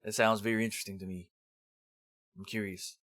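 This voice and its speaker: A man's voice: fairly low pitch, little pitch movement, a little fast, fairly bright tone, no echo, clean studio sound. A man presenting a review in semi-scripted narration with casual, rambling asides. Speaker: host of a manga review series.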